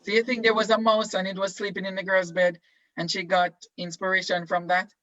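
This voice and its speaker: A male teacher leading an online class discussion, halting with many ums as he prompts students with questions. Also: sits high, flat delivery, sharp tone. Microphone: video call. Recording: dull, dry video call audio.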